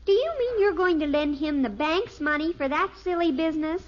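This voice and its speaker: Pert American teen daughter, high and animated, exasperated big-sister attitude, dull old radio sound. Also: female voice, fairly quick. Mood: scornful, exasperated. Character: teenage daughter, pert and scornful of her little brother. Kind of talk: 1950s radio sitcom acting. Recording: muffled, faintly noisy 1950s radio recording.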